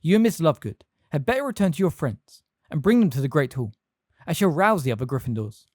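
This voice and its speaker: A reader voicing a stern older woman who gives sharp, scolding commands. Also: mid-range voice, very animated, quick pace, dry recording, studio quality, pitched above the performer's own voice. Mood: brisk.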